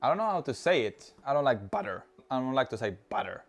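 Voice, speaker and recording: A man in his thirties with a Swedish accent talks his way through a recipe in a home kitchen, chatty and cheerful. His voice sits at an ordinary pitch, close on a clip-on mic. Soft music plays faintly underneath. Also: sing-song, fast. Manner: chatty, cheerful, talks while working with food. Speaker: cooking video host. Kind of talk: casual cooking demonstration. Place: home kitchen with open garden door. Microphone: lapel mic.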